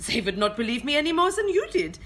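A woman's voice: high-pitched, big pitch swings, fast talker, fairly bright tone. A woman playing a grave, melodramatic foreign secret agent who slips into German exclamations in an amateur radio play. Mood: grave, insistent. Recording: homemade recording with noticeable noise.

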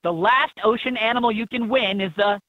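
A woman with a husky voice reading scripted prompts as an encouraging guide in a children's game, on dull toy audio. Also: fairly high pitch, fairly quick, dry recording, natural voice.

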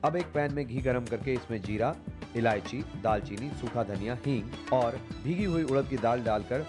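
A man reading out a recipe as a scripted voice-over, in an even, measured way. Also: mid-range voice. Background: music.

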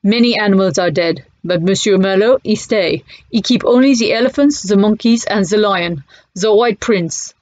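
A woman voicing a weary middle-aged French cafe owner, speaking in halting, broken English with a French accent. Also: mid-range voice, darkish tone, lightly acted. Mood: mournful. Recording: muffled lo-fi audio.

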